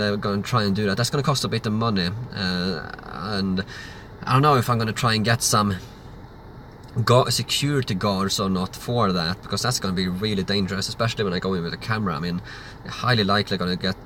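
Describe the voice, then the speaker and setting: A man's voice: on the low side, fairly bright tone, a little hiss or hum. A man, hosting a livestream Q&A and answering chat questions in a loose, rambling way. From Sweden. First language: Swedish. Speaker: livestream host.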